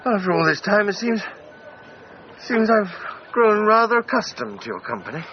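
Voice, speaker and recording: Bitter Edwardian English gentleman, high swooping voice, halting, exclamatory, wry, radio play. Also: big pitch swings, dull audio, a little hiss or hum. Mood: subdued. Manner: halting, exclamatory, well-spoken. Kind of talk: radio drama acting.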